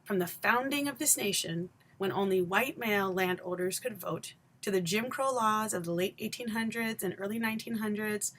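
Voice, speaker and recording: A woman from Haiti, likely in her forties, delivering a scripted personal essay to camera in a measured, emphatic, pointed way with deliberate pauses. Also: medium pitch, a bit bright, a little hiss or hum. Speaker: vlogger and education scholar.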